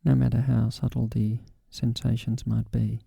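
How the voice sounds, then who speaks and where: A man guiding a body scan meditation in a calm, gentle, unhurried way with long pauses. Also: medium pitch, gravelly, slightly rough, dry recording. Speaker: meditation guide.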